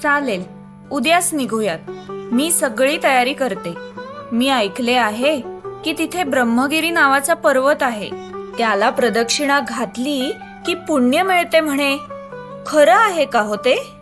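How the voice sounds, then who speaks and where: A woman voicing a devout, tender and anxious mother in an animated moral story. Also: sits high, measured pace, music in the background. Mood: eager, curious.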